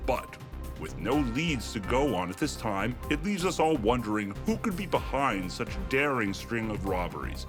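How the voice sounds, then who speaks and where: A man's voice: medium pitch, very animated, moderate pace, over music, natural voice. A man playing a grave, emphatic television news anchor delivering a formal bulletin in an audio drama. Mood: grave urgency.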